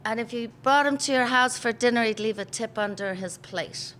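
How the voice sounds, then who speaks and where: A woman's voice: on the high side, moderate pace, fairly bright tone, slight background noise. An Irish woman in her fifties, chatting casually in an interview and telling stories with easy laughs. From Ireland. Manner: chatty storyteller, laughs easily, acts out quotes. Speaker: pub owner, interviewee.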